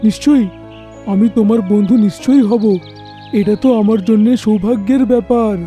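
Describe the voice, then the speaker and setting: A man's voice: sits high, fairly even intonation, a little fast, dark tone, soft background music, close to an everyday voice. A man voicing an earnest, dramatic talking forest animal in a cartoon fairy tale. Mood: grateful. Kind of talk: cartoon voice acting.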